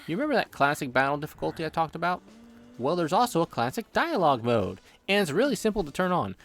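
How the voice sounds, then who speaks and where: A man's voice: a little high, very animated, fast talker, a bit bright. A man narrating a scripted video game review with playful, joking asides. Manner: playful, jokey. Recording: background music under voice. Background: music.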